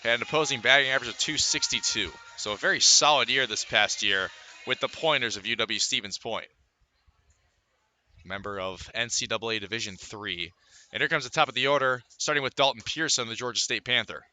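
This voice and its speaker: A man doing live baseball play-by-play as a radio announcer, describing the action in a measured way with pauses between calls. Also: medium pitch, bright, forceful tone, faint music behind. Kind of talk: live sports commentary.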